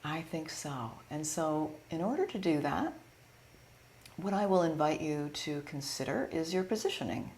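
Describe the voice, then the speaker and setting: A woman teaching yoga nidra, gently and soothingly leading a guided meditation in a live online session. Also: low-pitched, animated. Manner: soothing, gentle, unhurried invitations. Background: singing bowls and chimes.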